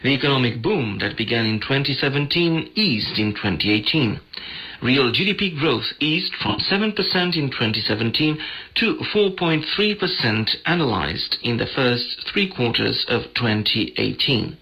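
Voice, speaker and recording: Male news magazine host, crisp bright edge, scripted delivery, shortwave radio broadcast. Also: mid-range voice, big pitch swings, moderate pace, low-quality recording.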